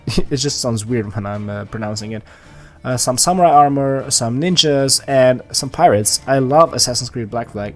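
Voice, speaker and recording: A man casually talking over his drawing process, rambling with lots of fillers and restarts. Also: mid-range voice. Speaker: art channel host. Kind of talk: casual voice-over commentary on a sketch.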